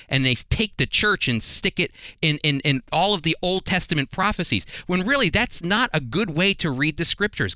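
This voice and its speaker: A man's voice: fairly high pitch, bright, forceful tone, clean tone, no echo. A man hosting a religious radio program, teaching the Bible in a conversational, earnest, explanatory way with emphasis.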